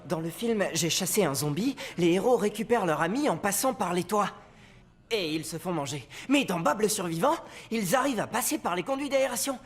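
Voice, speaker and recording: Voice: mid-range voice, lively intonation, a little fast, slightly rough. An actor voicing an earnest, plucky teenage boy hero in a cartoon, eager and decisive. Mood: thoughtful planning. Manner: eager, reasoning aloud, decisive. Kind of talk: cartoon character voice acting.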